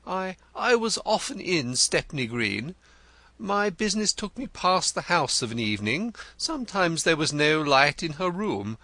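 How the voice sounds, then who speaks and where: A vain, effusive poet in a storybook reading, as a male narrator puts him on, gushing in a high voice that leaps up and down. He is florid and stammers when pressed, with a bright tone. The sound is dry and close. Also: sing-song, measured pace, dry recording, exaggerated voice. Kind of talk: audiobook character reading.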